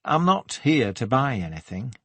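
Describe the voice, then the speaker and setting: Audiobook role: male narrator as an awkward, buttoned-up police detective, stiffly formal, mid-pitched. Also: big pitch swings, moderate pace, a bit bright, dry recording. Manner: stuffy, formal, hesitant.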